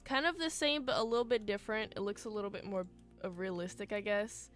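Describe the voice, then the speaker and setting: A woman livestreaming as she builds a game, talking in a chatty, rambling way with lots of fillers, her voice a little hoarse from a cold. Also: mid-range voice, fast talker, fairly bright tone, faint music behind. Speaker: game streamer. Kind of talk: casual livestream chat while building.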